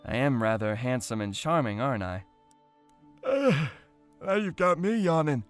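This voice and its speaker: A man playing a brave, chatty young castaway in a fan audio drama, with a wry, expressive, conversational delivery. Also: mid-range voice, measured pace, faint music behind. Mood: playful, sleepy.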